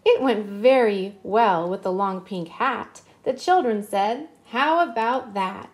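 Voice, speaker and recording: A woman in her thirties giving an expressive children's picture-book read-aloud, drawing out words for emphasis. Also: mid-range voice. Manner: expressive, slow, drawn-out emphasis. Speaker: children's storybook reader.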